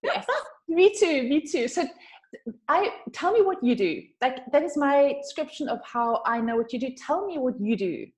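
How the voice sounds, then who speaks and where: A woman's voice: sits high, expressive, normal speed. A woman with a South African accent hosting a casual, chatty interview in an effusive, emphatic way. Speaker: interview host, branding coach.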